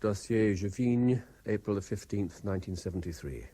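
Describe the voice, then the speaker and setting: An actor playing a haunted, reluctant private investigator in a radio drama, with a British accent and a hesitant, measured way of speaking. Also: male voice, on the low side, dark tone, natural voice. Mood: sombre, dictating. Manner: hesitant, stammering in talk, measured when dictating. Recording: 1970s radio drama broadcast.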